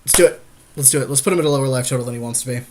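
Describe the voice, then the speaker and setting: A man's voice: mid-range voice, very fast, a little hiss or hum. A man co-hosting a gaming podcast, giving casual, slangy commentary with a wry, joking manner. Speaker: podcast co-host and game partner.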